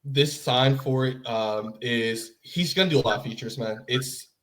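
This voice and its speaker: A man's voice: medium pitch, normal speed, a bit bright. A man podcasting in casual chat, blunt and slangy, with a joking manner. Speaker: podcast host.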